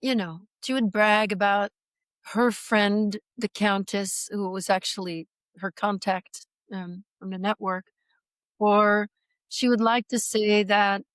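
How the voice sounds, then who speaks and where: A woman in her sixties from Belgium tells her story slowly and reflectively, often pausing and restarting her sentences. Her voice is smooth and clear, recorded close and dry, at a middle pitch. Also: fairly even intonation, dry recording. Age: 60s. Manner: reflective, halting, many fillers and restarts. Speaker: guest. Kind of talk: personal testimony in interview.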